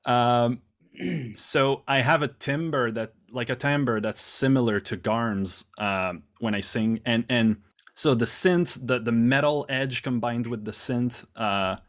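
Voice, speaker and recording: A man from Canada, a musician guest talking casually in an interview over a video call, quick and full of restarts. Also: mid-range voice, steady tone. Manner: quick, restarts often, self-deprecating laughs.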